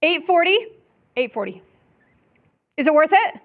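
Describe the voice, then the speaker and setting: A woman instructor pitching a test prep class to students in a lecture room, upbeat and joking. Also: sing-song, a little slow. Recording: phone-like thin room recording.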